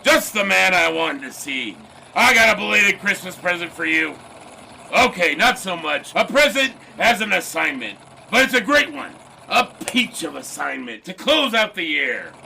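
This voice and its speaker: Blustery middle-aged newspaper boss, radio drama. Sharp bright tone, swooping delivery, breezy enthusiasm, slight background noise. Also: male voice, very animated, normal speed, vocal fry, heavily acted, low-quality recording. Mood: excited, blustery. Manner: booming, enthusiastic, careless with details. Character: blustery, excitable male newspaper boss, cheerfully scatterbrained. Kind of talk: period radio drama acting.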